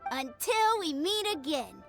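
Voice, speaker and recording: High-pitched, eager little boy. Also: unhurried, vocal fry, faint music behind, full character voice. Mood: cheerful. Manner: boyish, earnest, excitable. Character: eager, proud little boy playing martial arts master. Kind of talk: video game voice acting.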